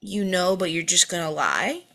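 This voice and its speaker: A forceful, bright-edged woman performing as a bitter mother in a drama table read. She is cutting and volatile, scolding her family with a dramatic bite. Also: lowish voice, lively intonation, normal speed. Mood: needling. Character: bitter, volatile, foul-mouthed mother berating her grown children.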